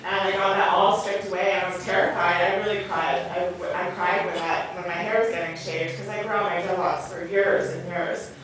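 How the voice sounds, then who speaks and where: A woman's voice: lowish voice, little pitch movement, fairly quick, few pauses, far from the mic, over a noisy crowd. A woman, a film actress, talking candidly and earnestly in a rambling way at a movie theatre Q&A. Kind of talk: candid personal panel talk. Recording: echoey theatre, off-mic, noisy.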